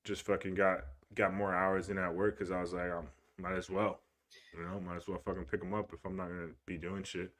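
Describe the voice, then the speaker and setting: A man's voice: low voice, flat delivery, a little fast, fairly bright tone. A young man co-hosting a podcast in casual chat, talking in a laid-back, rambling way and swearing often. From Cuban heritage. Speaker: podcast co-host.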